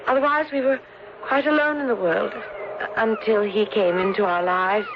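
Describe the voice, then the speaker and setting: A woman's voice: mid-range voice, moderate pace, natural voice. An actress playing a frail, trusting, melancholy young woman, hesitant and genteel, in an old-time radio melodrama. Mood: wistful, resigned. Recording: dull, noisy old radio broadcast.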